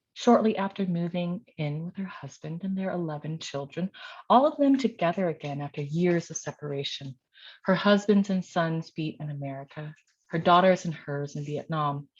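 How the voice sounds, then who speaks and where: A woman's voice: lowish voice, a little mellow. A middle-aged woman poet and teacher giving a reading of her work with informal, self-deprecating remarks. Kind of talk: poetry reading with casual introductory remarks. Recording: dull, lo-fi sound.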